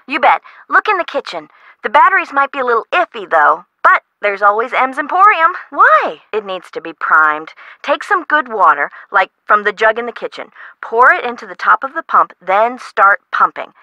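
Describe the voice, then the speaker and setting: An actress playing an excitable, worried woman homeowner in a video game, American and chatty in an emphatic way. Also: sits high, no room sound, exaggerated voice. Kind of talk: scripted game dialogue.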